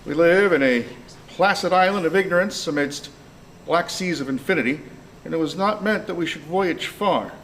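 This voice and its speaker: A man's voice: a little high, normal speed, fairly bright tone, slight background noise, poor audio. A man acting an inquisitive, dogged police detective in an amateur live radio play. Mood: grave, reading aloud.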